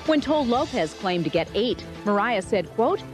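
A woman's voice: mid-range voice, very animated, normal speed. A woman reporter reading a news voice-over with quotes. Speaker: entertainment reporter. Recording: voice-over over music bed.

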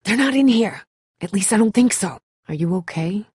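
An actress playing a tough, weary woman surviving an apocalypse in a video game, husky and blunt, speaking in a serious, terse way. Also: mid-range voice, lively intonation, moderate pace, darkish tone, no room sound, studio quality. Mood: tense, guarded. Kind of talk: dramatic video game voice acting.

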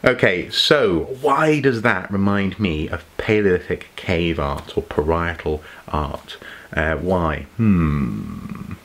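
Deep-voiced chatty man. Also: lively intonation, slow, fairly bright tone. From England. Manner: chatty, musing, lots of ums and repeated words. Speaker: archaeology YouTuber. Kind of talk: impromptu casual vlog explainer. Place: home study with shelves of collectibles.

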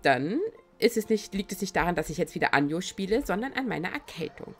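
A woman streaming a video game, chatting in a casual, excitable and giggly way. She has a cold that makes her voice slightly raspy. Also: lowish voice, a little fast, soft background music. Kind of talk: casual let's-play commentary.

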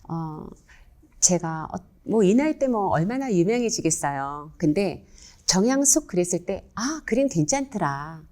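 A woman's voice: fairly low pitch, very animated, unhurried, dark tone. A middle-aged or older woman, a painter, answering interview questions in an earnest, polite and reflective way.

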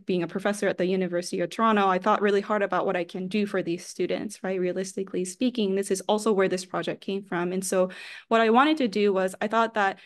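A woman professor presenting her research over a video call, speaking casually with many fillers and a habitual "right?". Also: medium pitch. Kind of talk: academic talk over slides. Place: home or office, video call.

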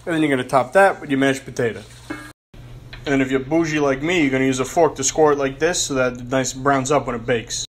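Fast-talking brash young home cook. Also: male voice, medium pitch, steady tone, soft background music. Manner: brash, joking, streetwise slang. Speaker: home cooking host. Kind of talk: casual cooking vlog narration. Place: home kitchen, indoors. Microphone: phone camera held close.

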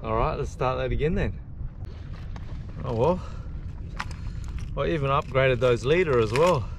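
A man in his forties with an Australian accent, chatting excitedly to viewers while fishing from a kayak on a bay. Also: medium pitch, a little slow. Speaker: fishing vlogger. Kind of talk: casual running commentary while fishing. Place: kayak on open bay outdoors. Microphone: action camera mounted on kayak. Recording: wind and water noise outdoors.

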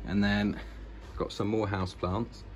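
A man's voice: lowish voice, level tone, a little slow. A British man chatting informally and enthusiastically as he gives a casual walk-through narration indoors. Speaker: vlogger giving a house tour. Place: inside an old house, walking between rooms. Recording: noisy indoor handheld home recording.

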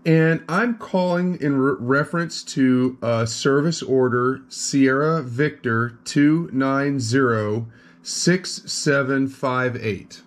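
A man calling a customer service line, explaining a complaint in a measured, mildly exasperated way. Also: mid-range voice. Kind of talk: phone call. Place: indoors at a desk.

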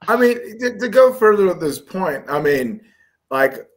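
A man's voice: sits high, sing-song, unhurried. A man on a video call with a headset, chatting casually and bluntly, swearing and acting out short texting examples. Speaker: guest.

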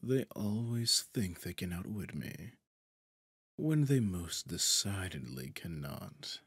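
A man playing a smug, possessive devil in a roleplay audio drama, suave and pompous, with a breathy, husky delivery that often drops toward a whisper. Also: deep voice, very animated, a bit bright, dry recording, clean studio sound, heavily acted. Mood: haughty exasperation. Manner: theatrical, silky, pompous, drawn-out phrasing. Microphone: close, dry studio microphone.

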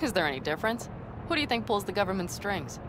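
A woman's voice: mid-range voice, very animated, quick pace, natural voice. An actress playing a headstrong, sardonic nomad woman in a video game, blunt and commanding. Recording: clear vehicle and outdoor noise.

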